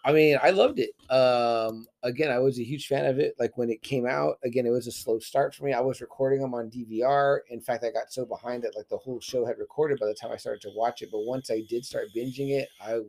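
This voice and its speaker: A man's voice: mid-range voice, fairly quick, gravelly, hardly any pauses, darkish tone. A man in his forties co-hosting a podcast, rambling casually with lots of filler words and swearing. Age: 40s. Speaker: podcast co-host. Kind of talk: casual chat.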